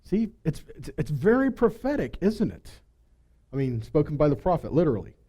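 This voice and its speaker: A man delivers a teaching sermon in a high-pitched voice with a soft, mellow tone. He moves between reading scripture and explaining it, often posing rhetorical questions and checking in with his listeners. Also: very animated, fairly quick, breathy edge. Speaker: Bible teacher.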